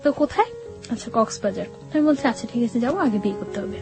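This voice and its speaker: A woman with a high voice recounts her own experiences as an interview guest. She speaks conversationally and haltingly, slipping in quoted dialogue, over faint background music. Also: expressive. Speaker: guest telling her life story. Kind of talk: personal storytelling in an interview.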